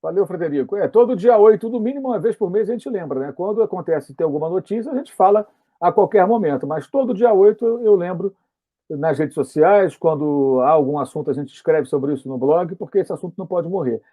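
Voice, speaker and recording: Male host, live stream, measured, conversational, husky, warm tone. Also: sits high, animated.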